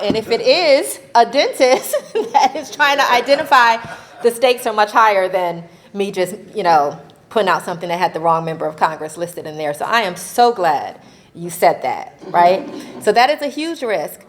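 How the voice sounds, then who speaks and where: Animated woman speaking on a panel. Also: a little high, moderate pace. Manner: animated, laughs often, ends points with "right?". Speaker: faith-based advocacy panelist. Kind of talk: conversational panel talk. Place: convention session room.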